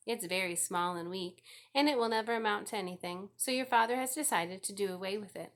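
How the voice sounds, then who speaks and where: A woman's voice: mid-range voice, a little fast, natural voice. A woman voicing a practical farm mother in a storybook, matter-of-fact and firm.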